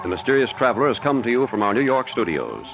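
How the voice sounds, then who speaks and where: A man's voice: mid-range voice, big pitch swings, fast talker, vocal fry. A man with an American accent announcing scripted credits in a 1940s radio style. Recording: muffled old radio, faint music.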